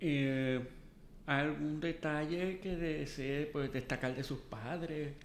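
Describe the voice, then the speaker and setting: A man's voice: on the high side, takes it slowly, a little hiss or hum. A man with a Puerto Rican accent hosting an oral history interview, speaking formally and courteously. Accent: Puerto Rican Spanish. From Puerto Rico. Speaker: interviewer, archive director. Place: boardroom.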